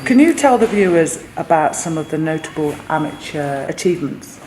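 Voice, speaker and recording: A woman interviewing a boxer, asking brisk, encouraging questions. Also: sits low, sing-song, darkish tone. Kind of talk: quick-fire interview questions. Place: boxing gym. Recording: echoey gym with background noise.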